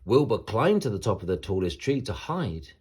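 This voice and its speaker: A man reading a children's picture book aloud as an expressive, dramatic bedtime story narration, with a husky voice. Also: fairly low pitch, moderate pace, slight background noise.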